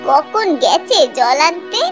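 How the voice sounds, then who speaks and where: A woman voicing an affectionate, gently scolding old village grandmother in a cartoon, in a folksy, fond way. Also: very high voice, expressive, takes it slowly, heavily acted. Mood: concerned. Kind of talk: Bengali cartoon voice acting. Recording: loud music behind the voice. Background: music.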